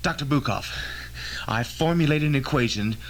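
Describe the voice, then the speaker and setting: A man's voice: mid-range voice, normal speed, natural voice. A man playing a brash, impatient, arrogant physicist in an old-fashioned radio drama, insistent and emphatic. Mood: urgent, pleading.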